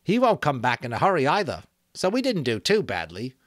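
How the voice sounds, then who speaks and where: An excited, boastful schoolboy in a storybook reading acted by a man. The voice jumps up well above an adult's talk and comes out loud and indignant, with a very dry, close sound. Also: medium pitch, brisk, no echo, pitched above the performer's own voice. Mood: excited, proud. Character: excited schoolboy boasting about a night fight.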